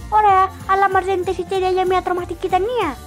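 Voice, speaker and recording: A woman voicing an excitable, playful little boy in a cartoon fandub. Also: very high voice, soft background music. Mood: eager. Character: excitable little boy who likes scary things.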